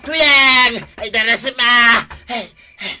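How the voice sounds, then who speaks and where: A man acting an easily frightened village husband in an excitable, exclaiming way for an Ilocano comedy radio drama. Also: very high-pitched, slow pace, soft background music, heavily acted. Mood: panicked.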